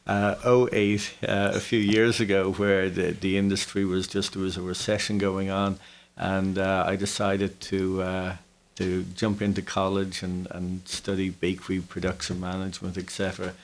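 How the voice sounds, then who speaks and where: A man in his forties with a Dublin Irish accent, recounting his own story casually as an interview guest, with hesitant pauses. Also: low-pitched, monotone. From Dublin, Ireland. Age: 40s. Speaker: interview guest, business owner.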